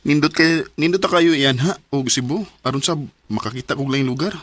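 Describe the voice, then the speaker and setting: An actor playing a dutiful young farm son in a Bisaya radio drama, earnest and deferential, with a Cebuano Filipino accent. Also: male voice, a little high, a little fast, fairly bright tone, no room sound. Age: young adult. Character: dutiful young son from a poor farming family.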